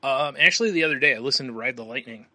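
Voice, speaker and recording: A man's voice: medium pitch, racing, pushed and bright, no echo. A man co-hosting a podcast in casual, rambling conversation, often dropping in "you know.". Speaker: podcast co-host. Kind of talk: casual chat.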